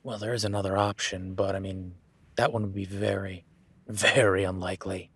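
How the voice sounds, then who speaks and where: A rugged cowboy rancher, played by a man, with a husky, breathy edge and a lowish voice. He keeps things laid-back and wry, needling a new hand in a first-person audio roleplay. The sound is dry and close, with no room around it. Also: flat delivery, moderate pace, dry recording, full character voice. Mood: teasing. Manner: teasing, laid-back, talks softly to his horse.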